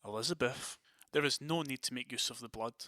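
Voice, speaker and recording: A man's voice: medium pitch, a little fast, pushed and bright, no room sound, studio quality, close to an everyday voice. A man playing a commanding, decisive vampire prince in an audio drama, with a formal, authoritative manner.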